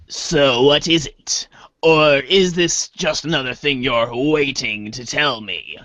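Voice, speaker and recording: A man's voice: mid-range voice, slow, bright, forceful tone, no room sound. A man playing an exasperated, confused young boy hero in an amateur webcomic reader's theater. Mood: puzzled, wry.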